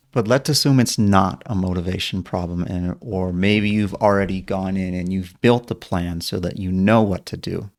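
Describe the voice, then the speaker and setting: A man who coaches people through learning plateaus, explaining his method step by step in an online lesson, now and then correcting himself mid-sentence. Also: lowish voice.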